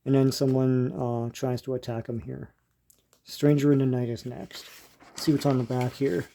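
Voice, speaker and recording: Older male, mid-pitched, mellow and dark tone, casual host commentary, musing, halting, unscripted. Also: level tone, vocal fry. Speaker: host leafing through vintage comic strips.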